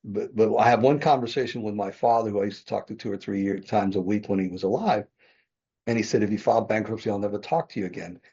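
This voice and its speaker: Gravelly-toned older man telling his story. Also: fairly low pitch, fast talker, warm tone. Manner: rambling, self-correcting, chuckles often. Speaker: podcast guest, real estate developer. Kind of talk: conversational interview storytelling. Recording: dull lo-fi podcast audio.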